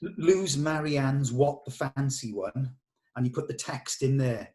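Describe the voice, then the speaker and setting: A man's voice: mid-range voice, measured pace, dark tone. A man with a middling voice works through a script in an informal discussion. He thinks aloud and gives short, direct instructions about what to change. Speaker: script reader giving notes at a table read.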